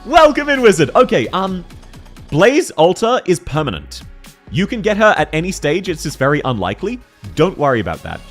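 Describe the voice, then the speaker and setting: A young man with a deep voice chats through a game stream, answering viewers in an excitable, slangy way and laughing often. His pitch swings widely from line to line. Faint music plays underneath. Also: moderate pace. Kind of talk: casual live-stream chat, answering viewer questions.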